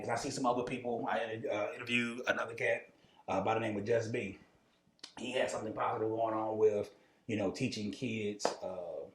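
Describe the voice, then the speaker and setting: Echoey American man interviewing casually. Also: on the low side. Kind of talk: casual street-level interview chat. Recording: echoey room sound.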